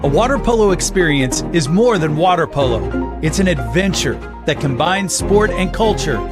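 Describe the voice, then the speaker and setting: A man's voice: a little high, lively intonation, normal speed. A man reading scripted promotional narration as an advert announcer. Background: loud music.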